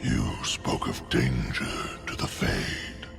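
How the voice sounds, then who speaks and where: Extremely deep, gravelly undead elder. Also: male voice, takes it slowly, fairly bright tone, faint music behind, heavily acted. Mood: grave, ominous. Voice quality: gravelly, rumbling. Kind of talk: video game voice acting.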